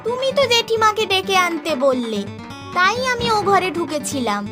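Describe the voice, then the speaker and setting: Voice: very high-pitched, sing-song, moderate pace, music in the background. A performer voicing a meek, innocent, obedient little girl in a cartoon. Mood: pleading, innocent. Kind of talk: cartoon voice acting.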